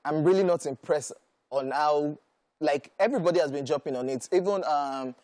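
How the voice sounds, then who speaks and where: A man's voice: a little high, normal speed, darkish tone, dry recording. A Nigerian man co-hosting an entertainment show, chatting with plenty of fillers and repeated words, then reading out a news item.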